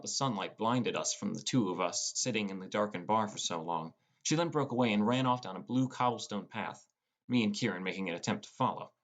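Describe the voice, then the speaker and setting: Low-voiced man narrating an audiobook. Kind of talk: scripted first-person fiction narration.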